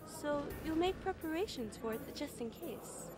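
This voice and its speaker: An earnest young military analyst in a radio drama. Her voice is moderately high and her manner is polite and explanatory. Clear music runs behind her. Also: animated, moderate pace. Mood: earnest, informative. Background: loud music.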